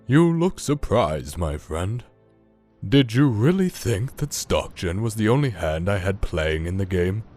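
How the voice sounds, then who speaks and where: A man's voice: fairly low pitch, very animated, measured pace, darkish tone, faint music behind. A man playing a smug, scheming scientist businessman villain, speaking in a suave, wordy, theatrical and mocking way. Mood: smug, teasing. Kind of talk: audio drama villain acting.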